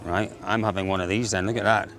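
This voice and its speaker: A man's voice: sits low, fairly even intonation, quick pace, creaky, a bit bright. A man from Scotland with a Scottish accent, presenting on location at an outdoor rural market in a jovial, joking, enthusiastic way.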